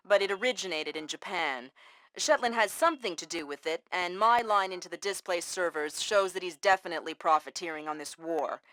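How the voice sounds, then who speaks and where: An actress playing a composed, confident intelligence analyst in a video game, briefing in a precise, matter-of-fact way. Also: female voice, on the low side, fairly bright tone, no echo.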